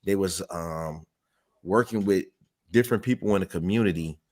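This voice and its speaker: Low-voiced, rambling man in his forties. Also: normal speed, darkish tone. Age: 40s. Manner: rambling, hesitant recounting with fillers. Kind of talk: casual conversational interview.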